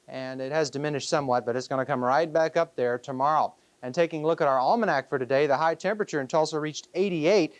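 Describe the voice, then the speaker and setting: TV weather man, somewhat high pitch, chatty presenting style, very dry studio sound. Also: no room sound. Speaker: TV weather forecaster. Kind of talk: ad-libbed weather report, conversational presenting. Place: TV news studio.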